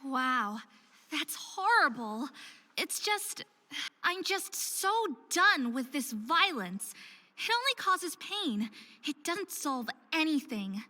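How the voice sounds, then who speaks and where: Casting: a desperate, earnest young half-faerie for a narrative game; high-pitched, dry close sound. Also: female voice, big pitch swings, takes it slowly, pushed and bright, no echo, full character voice. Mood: saddened. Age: young adult. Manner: earnest, emotional delivery. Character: troubled young hybrid faerie woman, self-hating, desperate, earnest. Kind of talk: visual novel voice acting.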